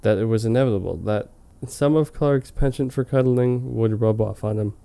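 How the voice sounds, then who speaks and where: Smooth, mellow man reading mock-dramatically. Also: lowish voice, normal speed. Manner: hammy, amused, breaking off to comment. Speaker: reader of fan fiction on a comedy web show. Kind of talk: mock-dramatic reading with casual joking asides.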